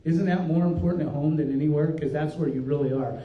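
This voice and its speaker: A man's voice: fairly high pitch, fairly even intonation, fast talker. An older man, a pastor, giving an earnest, folksy workshop talk with frequent restarts. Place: conference room. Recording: dull, roomy recording from a distance.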